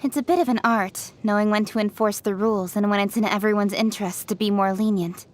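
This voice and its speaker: A woman's voice: mid-range voice, steady tone, a little fast, lightly acted. A woman voicing a confident, opinionated young official in a fantasy video game, articulate and frank. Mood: frank, self-assured. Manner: articulate, self-assured, frank. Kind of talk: scripted video game character voice lines.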